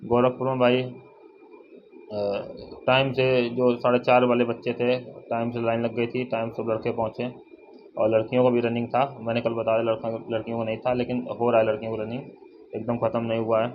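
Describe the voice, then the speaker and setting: Young man, casual update vlogger, monotone, halting and repetitive, mid-pitched, dull recording. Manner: halting, repetitive, restating figures. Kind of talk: casual informational update to viewers. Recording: dull lo-fi, faint noise, birdsong.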